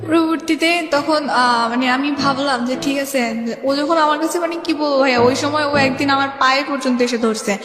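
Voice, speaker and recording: High-voiced young woman in echoey studio sound. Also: fairly even intonation, moderate pace. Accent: Bangladeshi. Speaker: guest telling her life story. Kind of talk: personal storytelling in a radio interview. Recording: echoey reverb, faint music behind. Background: faint music.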